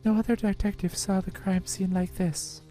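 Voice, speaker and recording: Inquisitive young female assistant for a game, woman performer, earnest, dramatic, medium pitch. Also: little pitch movement, moderate pace, warm tone, soft background music, natural voice. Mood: earnest concern. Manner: earnest, dramatic line reading. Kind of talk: amateur voice acting of game dialogue.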